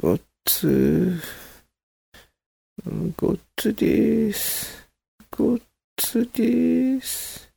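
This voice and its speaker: A man playing a rough troublemaker brother from a noble family, with a gravelly, husky, slurred drawl in a voice drama. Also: very high-pitched, sing-song, very slow, long pauses, warm tone, no room sound, full character voice. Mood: groaning. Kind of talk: voice drama acting.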